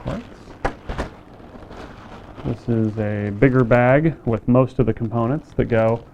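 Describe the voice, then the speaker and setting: A man casually demonstrating a radio kit, plain-spoken and relaxed, with frequent fillers. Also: mid-range voice, takes it slowly, creaky, highs cut off, slight background noise. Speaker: company staff demonstrating a kit.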